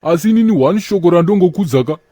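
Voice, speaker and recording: A man acts out a self-important street joker in a comedy cartoon. He rattles along quickly in a mellow, Zimbabwean-accented voice, boasting and mocking in slang. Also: high voice, animated, dry recording, studio quality. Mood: boastful.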